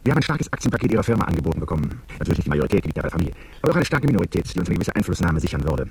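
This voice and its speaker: A man playing a smooth, scheming corporate negotiator in a radio drama, brisk, patronising and persuasive in manner. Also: sits low, big pitch swings, very noisy, full character voice. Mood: businesslike, persuasive.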